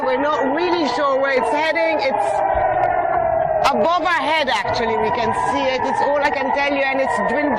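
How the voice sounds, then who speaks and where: A woman reporter giving a live field report outdoors, speaking in a tense, urgent way. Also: high-pitched. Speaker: news reporter. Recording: loud outdoor noise, broadcast clip.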